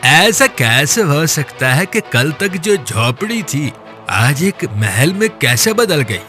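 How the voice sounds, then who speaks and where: Envious, suspicious cartoon villager, played by a man: nosy neighbour with animated, swooping delivery. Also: a little high, takes it slowly, soft background music, exaggerated voice. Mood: astonished, envious. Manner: suspicious, muttering to himself. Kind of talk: animated moral story voice acting.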